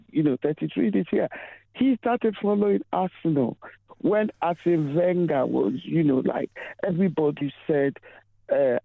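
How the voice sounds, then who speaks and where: An earnest male caller speaks slowly and emphatically, sharing life lessons as he goes. His voice sits a little high. It comes through a thin, tinny phone line. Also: gravelly, dry recording. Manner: earnest, philosophical, slow emphatic phrasing. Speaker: radio caller. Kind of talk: phone-in call. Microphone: telephone.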